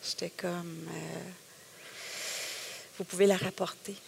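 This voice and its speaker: Gravelly middle-aged Québécois woman. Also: on the low side, level tone, slow, slight background noise. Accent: Québécois French. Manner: emotional storytelling with hesitations and self-quotes. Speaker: guest. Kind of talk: personal testimony in a conversation.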